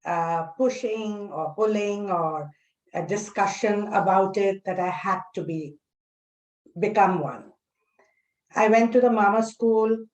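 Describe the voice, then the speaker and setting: Slow-paced woman in an echoey room. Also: mid-range voice, a little mellow, dull audio. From Karachi, Pakistan. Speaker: panelist, recipe curator. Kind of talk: personal introduction at a panel talk.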